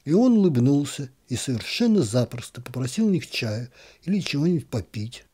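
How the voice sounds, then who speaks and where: Male narrator for a literary story, mellow and slightly husky, calm and expressive. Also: mid-range voice, fairly quick. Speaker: audiobook narrator.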